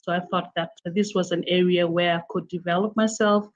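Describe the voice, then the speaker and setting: A middle-aged woman with a Fijian accent talks haltingly, filling her pauses with "uh" and "um." Her pitch stays flat and level, and the call audio sounds dull and lo-fi. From Fiji. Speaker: interview guest. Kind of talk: casual interview. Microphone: video call.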